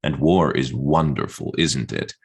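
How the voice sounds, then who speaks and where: A man's voice: a bass voice, lively intonation, a little slow, creaky, breathy edge, exaggerated voice. A man performing a solemn, biting orator in a theatrical recitation for an avant-garde radio play. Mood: biting declaiming.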